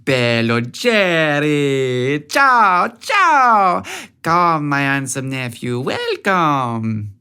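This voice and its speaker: Guy doing a loud loving old italian auntie, thick italian accent, high and excited, fussing away. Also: extremely slow, clean tone, exaggerated voice. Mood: exuberant welcome. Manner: exuberant, fussing, enthusiastic. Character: effusive, loving older Italian aunt welcoming her nephew.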